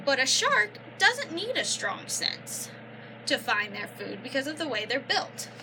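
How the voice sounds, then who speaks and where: A young woman reading a children's picture book aloud like a teacher, pausing to explain and prompt with questions. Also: high-pitched, very animated, noisy background. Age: young adult. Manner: instructive, prompting listeners with questions and affirmations.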